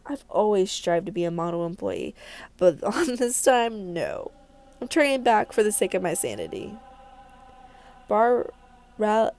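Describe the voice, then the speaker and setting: Woman acting an anxious, mellow-voiced young horror heroine. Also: mid-range voice. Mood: indignant. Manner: dramatic, anxious, emphatic. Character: frightened, superstitious woman real estate agent narrating her horror.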